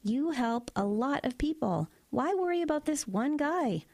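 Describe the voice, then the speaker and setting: A woman's voice: high voice, lively intonation, a little slow, a little mellow, no echo. An actress playing a wry, logical woman doctor in an audio drama, teasing and skeptical. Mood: skeptical, reassuring.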